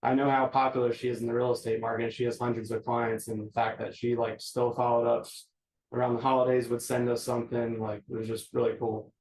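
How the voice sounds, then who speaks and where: Male interviewee, very even intonation, casual remembering tone, roomy echo on the recording. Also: medium pitch, a little fast. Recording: echoey room sound.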